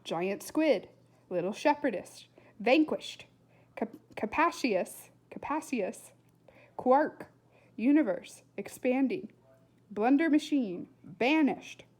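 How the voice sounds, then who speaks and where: A woman reading a storybook aloud as narrator in an expressive style. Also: on the high side, takes it slowly. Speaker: storybook reader.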